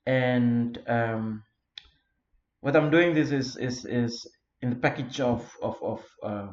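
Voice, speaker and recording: A man from Flores in eastern Indonesia with an Indonesian accent, giving a simple self-made lecture in English, often pausing with fillers and repeated words. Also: mid-range voice, a little mellow. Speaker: founder of an English learning community. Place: Europe. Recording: roomy, dull lo-fi recording.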